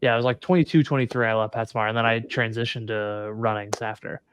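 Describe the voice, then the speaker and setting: A man in his thirties hosting a casual podcast interview, relaxed and quick to laugh, with plenty of filler words. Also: medium pitch, clear, resonant tone, no echo. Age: 30s. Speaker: podcast host.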